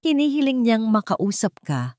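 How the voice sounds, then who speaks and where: A woman's voice: fairly high pitch, big pitch swings, normal speed, darkish tone, no room sound, studio quality, voice pushed up. A woman voicing a formal, respectful male army general in a story, grave and concerned in manner.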